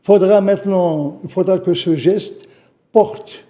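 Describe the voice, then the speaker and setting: An older man from Algeria giving a halting, emotional eyewitness testimony as a guest on a studio news program, repeating phrases as he recalls events. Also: sits high, mellow. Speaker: witness guest. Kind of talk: spoken testimony in a studio interview.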